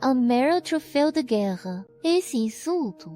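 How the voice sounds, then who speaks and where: A woman voicing a stern, calculating female sect leader in a dubbed motion comic, measured and commanding. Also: sits high, big pitch swings, mellow. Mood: grave. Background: background music.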